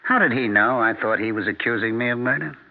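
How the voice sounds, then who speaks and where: An actor playing an embittered, paranoid husband in a 1970s radio drama, needling and sarcastic with rhetorical questions. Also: male voice, mid-range voice, quick pace, lightly acted. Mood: accusing, bitter. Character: embittered, paranoid husband turning on his wife. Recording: muffled, narrow-band 1970s radio recording.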